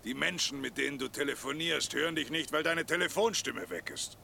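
An actor playing a knowing, blunt companion figure in a German radio drama. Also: male voice, fairly high pitch, brisk, gravelly, pushed and bright, slight background noise, full character voice. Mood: matter-of-fact. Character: knowing, blunt companion called Klumpen, gravelly.

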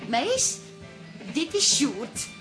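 An actress playing a warm, excitable middle-aged wife who searches for her words in a naturalistic radio drama. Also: high voice, very slow, soft background music, heavily acted. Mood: excited, delighted. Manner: hesitant, searching for words, exclaiming.

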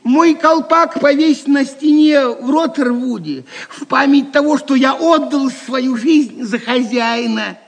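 Casting: loyal fool posing as a monk, slow and very high-pitched, playful mock solemnity, audio drama. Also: male voice, creaky, exaggerated voice. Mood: playful, devoted. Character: wry, loyal male jester disguised as a poor monk.

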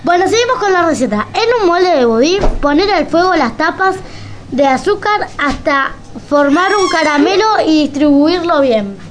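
High-voiced Argentine woman hosting radio. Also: animated, normal speed, soft background music. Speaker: radio show host. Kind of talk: casual radio hosting, reading aloud and signing off. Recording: faint background noise.

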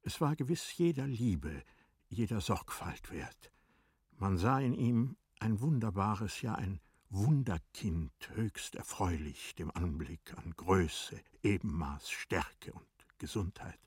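A man narrating a literary radio drama in a measured, composed manner. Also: sits low, lively intonation, darkish tone, breathy edge, dry recording, clean studio sound.

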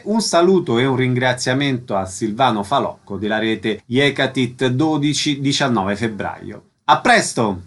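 A man hosting on radio, reading announcements and putting short interview questions. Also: mid-range voice.

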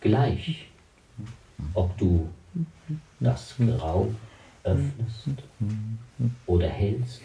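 A solemn man played by an actor recites melancholy poetry in a deep voice, extremely slowly and with halting phrases. It sounds like a distant, echoey, noisy broadcast. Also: animated, gravelly, warm tone, faint music behind, exaggerated voice.

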